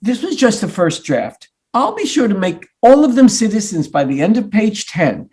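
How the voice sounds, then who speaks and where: A man playing an earnest, flustered sixteen-year-old playwright son in a staged reading, defensive and mock-modest, with a husky voice. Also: high voice, sing-song, moderate pace, a little mellow, full character voice. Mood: flustered, indignant. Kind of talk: live staged play reading.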